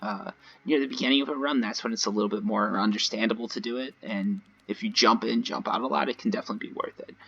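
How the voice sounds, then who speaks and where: A man with a slightly hoarse voice gives casual, rambling gameplay commentary, talking directly to his viewers in a conversational way. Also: lowish voice, fast talker, sharp tone, muffled recording. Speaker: gaming video creator. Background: voice-over recorded over gameplay.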